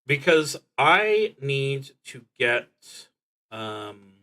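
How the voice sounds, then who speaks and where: Man, slow pace, medium pitch, halting delivery, solo game streamer, thinking aloud, trailing off. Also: sing-song, sharp tone. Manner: halting, trailing off while working things out. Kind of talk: thinking aloud while playing, reading out game cards.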